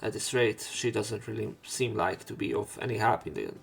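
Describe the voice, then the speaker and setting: A man's voice: fairly low pitch, expressive, moderate pace, gravelly, slightly rough. A man narrating and reading dialogue aloud for a visual novel let's play, sometimes stumbling over words. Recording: game music behind voice. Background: visual novel game music.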